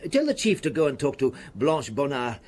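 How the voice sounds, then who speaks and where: An actor playing a frank, gossipy veteran police officer with a husky voice in a radio drama. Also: male voice, mid-range voice, huge pitch swings, moderate pace, a little mellow. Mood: wry, knowing.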